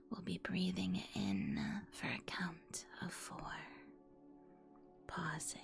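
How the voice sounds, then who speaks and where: A woman whispering a guided ASMR meditation in a soothing, deliberate, instructional manner. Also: on the low side, vocal fry. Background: music.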